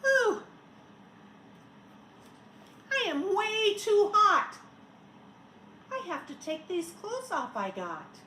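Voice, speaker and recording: Casting: a woman as an exclamatory, shivery snowman for a children's storybook, childlike, swooping high, in a roomy space. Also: huge pitch swings, very slow, long pauses, sharp tone, heavily acted. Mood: overheated. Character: childlike, shivery, cheerful snowman who keeps melting. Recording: roomy echo, faint background noise.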